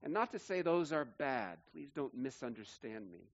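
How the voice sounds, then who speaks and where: A man's voice: mid-range voice, animated, normal speed, muffled recording. A man in his forties, a pastor preaching an earnest sermon and building emphatic lists. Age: 40s.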